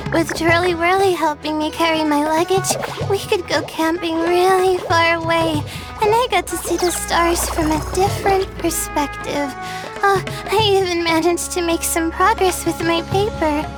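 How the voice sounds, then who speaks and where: A woman's voice: extremely high pitch, fairly even intonation, normal speed, music in the background. An actress playing a shy, sleepy young female scholar in an anime video game, speaking hesitantly. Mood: earnest.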